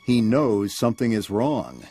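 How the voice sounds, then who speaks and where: A man's voice: lowish voice, sing-song, a little slow, mellow, husky, faint music behind. A man narrating a scripted read-along adventure story for children.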